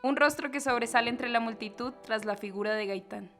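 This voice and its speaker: Lady narrating a documentary from a script, slightly high voice, soft music behind. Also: fairly quick.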